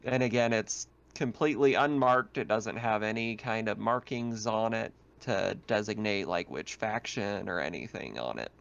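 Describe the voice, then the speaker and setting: A man's voice: fairly low pitch, moderate pace, vocal fry, few pauses, fairly bright tone, dull audio. A man acting as game master, narrating scenes and fielding questions in an improvised, conversational way. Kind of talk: narrating a tabletop roleplaying game.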